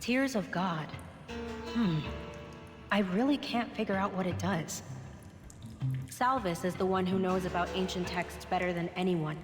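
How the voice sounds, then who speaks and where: A woman's voice: on the low side, fairly even intonation, unhurried, long pauses, close to an everyday voice. A woman playing a composed, helpful comrade in a game cutscene, giving matter-of-fact advice. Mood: thoughtful, admiring. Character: composed, helpful female rune hunter comrade. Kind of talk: scripted game cutscene dialogue. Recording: roomy long reverb, music behind.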